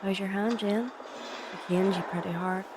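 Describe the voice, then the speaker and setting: A woman's voice: medium pitch, steady tone, moderate pace, background noise, natural voice. A woman playing a meek, sickly young Victorian match girl in an audio drama, hesitant and deferential. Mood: concerned. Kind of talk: fan audio drama acting.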